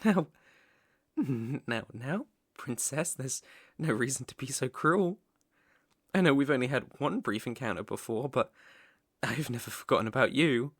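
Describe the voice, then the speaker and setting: A man's voice: mid-range voice, lively intonation, a bit bright, slightly rough, dry recording. Creepy obsessed villain king, asmr roleplay, drawn out sinister lines, really dry sound. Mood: wounded. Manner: sinister, theatrical, slow drawn-out phrasing with whispers. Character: obsessive, menacing young villain king, madly lovesick.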